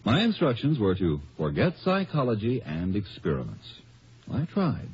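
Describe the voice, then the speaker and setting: A genial psychology professor giving a wry testimonial in a vintage radio ad. His voice is very husky and lowish, with lively rises and falls. The recording sounds dull. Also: moderate pace, full character voice. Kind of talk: 1950s radio ad narration.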